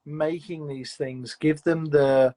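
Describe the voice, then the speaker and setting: A man with a slightly raised voice talks through his craft in a loose, reflective ramble. He restarts sentences often and keeps filling gaps with "you know" as he thinks out loud. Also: a little high, fairly even intonation, slow. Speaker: audio fiction producer, interview guest. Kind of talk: casual interview chat.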